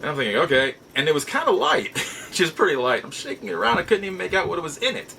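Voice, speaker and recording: A man's voice: fairly high pitch, very animated, fast talker, creaky, pushed and bright, slight background noise, poor audio. A middle-aged man casually telling personal stories as a show host, rambling in a folksy way and often rephrasing himself. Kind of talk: casual personal storytelling to camera.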